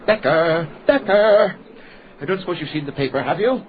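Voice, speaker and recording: An actor playing an exasperated, sarcastic boss type in a detective radio drama, testy and sardonic. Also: male voice, on the high side, animated, normal speed, natural voice. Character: exasperated, sarcastic man badgering the detective over complaints. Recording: dull, lo-fi radio sound.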